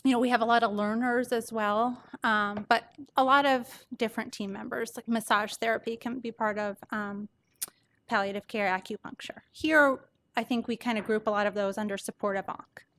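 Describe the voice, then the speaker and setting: A soft-voiced woman doctor gives an informal medical presentation to an audience, explaining things conversationally. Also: a little high, fairly even intonation, normal speed. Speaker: palliative care doctor presenting.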